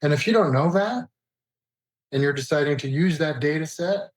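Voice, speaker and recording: A man's voice: a little high, animated, normal speed, dry recording. A man speaking as an expert interview guest, explaining informally and listing points in a conversational way.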